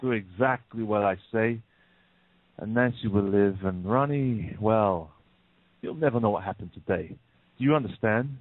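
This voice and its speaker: A man's voice: lowish voice, moderate pace, no room sound, lightly acted. A man playing a smug, menacing bank robber in an audio play, speaking with taunting, mock-polite deliberation. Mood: cold, menacing. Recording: muffled narrow phone-like sound.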